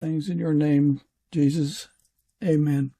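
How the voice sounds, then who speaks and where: A man hosting an interview podcast, speaking in an earnest, unhurried way with a husky, gravelly voice. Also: a little high, level tone, mellow.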